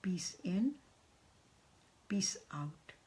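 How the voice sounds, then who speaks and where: A woman, leading a guided meditation in a soft, half-whispered voice. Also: on the low side, animated, drawn-out words, breathy edge.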